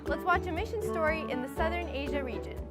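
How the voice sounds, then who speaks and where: A young woman with a high voice presents a show in an upbeat, friendly way. Loud music plays clearly behind her. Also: quick pace. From Thailand. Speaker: host.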